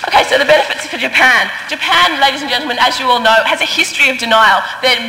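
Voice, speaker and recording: Woman debater from Australia, fast and bright, earnest and persuasive, recorded in an echoey chamber. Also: high-pitched, vocal fry. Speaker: competitive debater. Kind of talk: formal debate speech.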